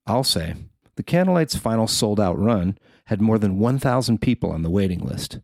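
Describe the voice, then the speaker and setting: A man with a fairly low voice narrates a written feature article. He reads from a prepared script as its writer, in a dry recording with very little room sound. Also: lively intonation, normal speed, gravelly, no room sound. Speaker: newspaper writer reading own article.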